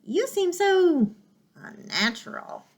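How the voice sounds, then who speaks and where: A high, shrill old owl, put on by a woman reading aloud, bossy and quick to panic. Its hooting lines come out loud and piercing, lurching up and down in pitch. A storybook creature voice. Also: sing-song, exaggerated voice. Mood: alarmed.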